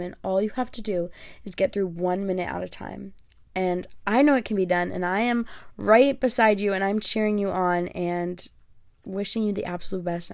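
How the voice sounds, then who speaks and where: A young woman, Greek by first language, hosts a solo podcast in a rambling, self-deprecating chat full of little fillers. Her voice has a gravelly, fry-tinged texture at an ordinary pitch. The sound is dry and close, with no room around it. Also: a little mellow, no echo. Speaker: podcast host. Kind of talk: casual solo podcast talk.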